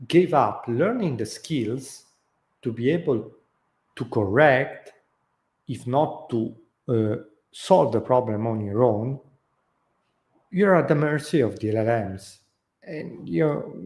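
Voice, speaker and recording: Italian-accented guy thinking out loud, warm dark voice, lots of uhs and restarts. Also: medium pitch. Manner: thoughtful, unscripted, many fillers and restarts. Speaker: host. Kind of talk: casual talk.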